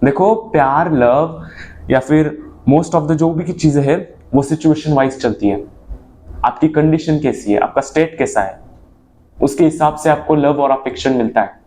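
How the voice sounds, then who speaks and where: Young man, slightly high voice, earnest, motivational vlog monologue, emphatic then low. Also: moderate pace, mellow. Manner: earnest, mixes Hindi with English phrases, swings between emphatic and low. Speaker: motivational vlogger.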